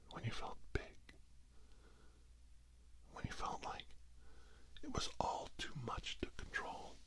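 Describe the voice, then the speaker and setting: Slow, whispering man doing ASMR healing. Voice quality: breathy whisper, mostly unvoiced. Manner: slow, hushed, soothing, with long pauses between short phrases. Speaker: ASMR reiki healer. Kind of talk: soft-spoken whispered ASMR guided healing.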